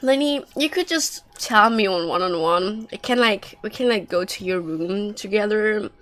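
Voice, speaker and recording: A woman's voice: mid-range voice, expressive, normal speed, fairly bright tone. A woman giving casual, joking and sarcastic livestream commentary as she plays a video game.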